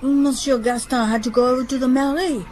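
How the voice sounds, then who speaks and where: A woman's voice: sits high, steady tone, brisk, darkish tone, slight background noise, lightly acted. A woman playing a shy, timid schoolboy who answers politely and hesitantly in a radio drama. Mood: earnest, guarded.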